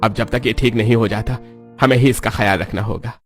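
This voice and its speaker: A man playing a kindly elderly village priest in a dramatized moral story, speaking in a fatherly, grave and expressive way. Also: lowish voice, brisk, soft background music, lightly acted. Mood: gentle, worried.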